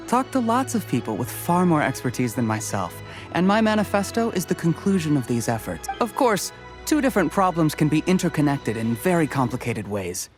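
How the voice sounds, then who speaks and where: An actor playing a modest, personable candidate in a video game, articulate, polite and thoughtful. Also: male voice, a little high.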